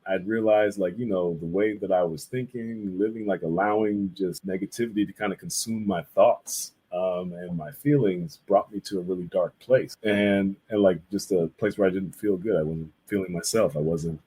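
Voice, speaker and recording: A man with a low, husky voice talks casually as an interview guest. He is a visual artist who explains his work informally, reflecting as he goes. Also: normal speed, hardly any pauses, warm tone, poor audio.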